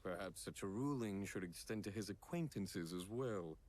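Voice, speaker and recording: Deep, dry, aloof young man. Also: lively intonation, normal speed, darkish tone. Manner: dry, formal wording.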